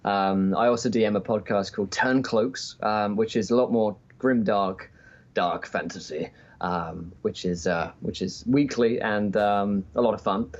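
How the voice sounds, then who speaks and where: A man's voice: fairly low pitch, measured pace. A man guesting on a podcast by remote call, chatting casually and a bit hesitantly. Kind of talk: casual chat. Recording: muffled remote call audio.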